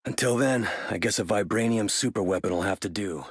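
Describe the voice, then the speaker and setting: An actor voicing a wry, weary veteran soldier in a video game, gravelly and laconic. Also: male voice, on the low side, brisk, dry recording, studio quality.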